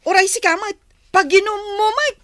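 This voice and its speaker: A woman playing a very high-pitched suspicious Ilocano woman. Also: normal speed, dry recording, studio quality. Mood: annoyed. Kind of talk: amateur Ilocano audio drama.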